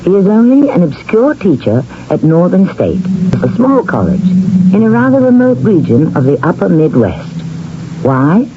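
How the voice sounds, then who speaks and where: A man hosting and narrating a radio mystery drama in a deliberate, theatrical, wry manner. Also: high-pitched, sing-song, background noise. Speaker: host and narrator. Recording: dull vintage radio broadcast, music underneath.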